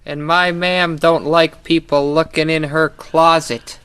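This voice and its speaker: Slow, simple, trigger-happy farm boy. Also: on the high side, level tone, fairly bright tone, clear, resonant tone, no room sound. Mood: menacing glee. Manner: slow, childlike, dim-witted. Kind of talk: old-time radio drama acting.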